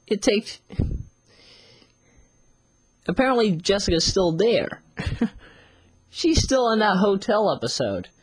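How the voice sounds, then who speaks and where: Husky voiced reviewer, casual rambly audio log, pitch jumps around a lot, really dry close sound. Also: no room sound. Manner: rambling, often repeats phrases to restart. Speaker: series reviewer.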